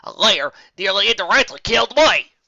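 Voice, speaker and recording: A narrator acts out a female farm pony with a hot temper in an audio story. The delivery is blunt, drawn-out and forceful, pushing hard on accusations. The sound is close, dry and slightly muffled. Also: medium pitch, very animated, vocal fry, no echo, voice pushed up, full character voice. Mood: angry, accusing.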